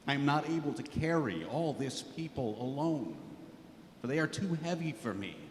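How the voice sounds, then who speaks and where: A man's voice: on the high side, expressive, moderate pace, lightly acted. A man reading scripture dramatically in church as Moses, a burdened, exasperated leader pleading. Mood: weary, exasperated. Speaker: scripture reader voicing Moses. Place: large church indoors. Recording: echoey church hall, audible noise.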